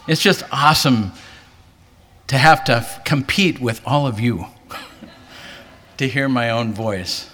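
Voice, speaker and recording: A man's voice: slow pace, pauses often, bright, forceful tone, a little hiss or hum. A male pastor giving an earnest sermon to a convention, lifting his voice for emphasis. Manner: earnest, rising pitch for emphasis. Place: large hall, preaching to a convention. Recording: hall reverb.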